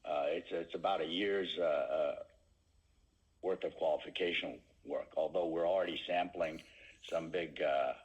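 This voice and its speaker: A man speaking as a company executive answering questions on an earnings call, with halting phrasing and many pauses. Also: low voice, fairly even intonation, dull audio.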